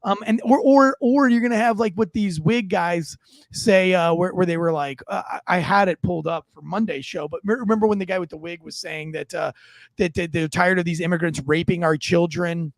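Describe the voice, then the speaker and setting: Podcast co-host, man, high raspy voice, loose sweary delivery. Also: brisk, dry recording. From United States. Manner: rambling, swearing, many restarts. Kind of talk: casual profane rant.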